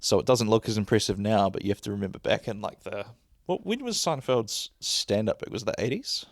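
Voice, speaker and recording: Laid-back man with a deep bassy voice. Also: lively intonation, fairly quick, no room sound, studio quality. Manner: rambling, laid-back, laughs often. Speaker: podcast host. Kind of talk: casual chat. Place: lying back while recording.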